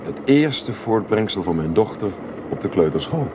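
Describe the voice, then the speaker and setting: A man's voice: fairly low pitch, sing-song, moderate pace. An actor playing a courteous, reserved father in love, speaking formally and earnestly in a radio drama. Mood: friendly, courteous. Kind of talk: Dutch radio drama acting. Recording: clearly noisy radio play recording.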